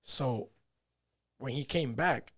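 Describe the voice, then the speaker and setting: Relaxed peruvian-born guy in his 30s, rambling through stories, average pitch. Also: slow. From Peru. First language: Spanish. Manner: laid-back, rambling, peppered with "you know" and "man". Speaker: podcast guest, fighter. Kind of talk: casual storytelling interview.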